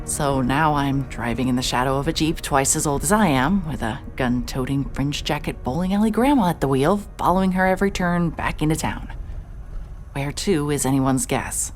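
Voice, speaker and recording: This is an actress as a dogged female private investigator with a low voice. She sounds wry and wary, in an audio drama with music underneath. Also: moderate pace, natural voice. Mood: wry, frustrated. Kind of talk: audio drama acting with first-person narration.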